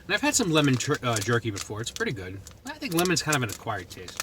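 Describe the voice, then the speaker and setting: A man's voice: medium pitch, huge pitch swings, quick pace. A man with a Boston accent reviewing food in casual, rambling chat to the camera, thinking aloud as he goes. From New England, United States. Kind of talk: casual chat to camera while taste-testing. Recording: noticeable background noise.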